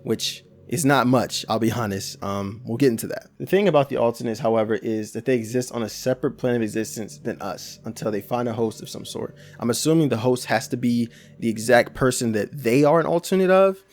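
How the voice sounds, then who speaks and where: Gravelly young man with casual commentary. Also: mid-range voice, brisk, few pauses, a little mellow. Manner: casual, rambling, frequent restarts and slang. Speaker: YouTube commentator.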